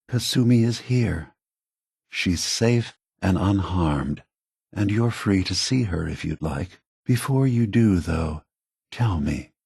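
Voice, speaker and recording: An actor playing a gentle, weary old male android leader in a video game, husky and soft-spoken, with a measured, contemplative, earnest manner. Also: low-pitched, dry recording, clean studio sound, heavily acted. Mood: calm, reassuring. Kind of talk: video game character dialogue.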